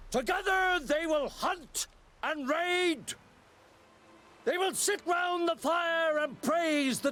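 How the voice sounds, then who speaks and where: An actor playing a solemn clan druid in a video game, declaiming a funeral oration in a grave, projected voice. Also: male voice, very high voice, sharp tone, heavily acted. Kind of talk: ceremonial oratory in a video game.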